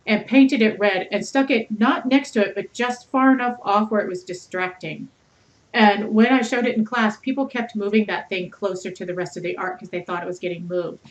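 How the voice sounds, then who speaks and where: A woman's voice: medium pitch, low-quality recording. Blunt, laughing woman podcast host. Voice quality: mezzo-soprano voice. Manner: animated, laughing, blunt and profane. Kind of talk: casual interview chat.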